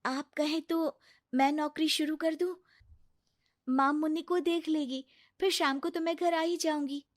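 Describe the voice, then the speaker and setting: Woman playing high-voiced gentle young daughter-in-law. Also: fairly even intonation, measured pace, dry recording, studio quality. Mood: earnest.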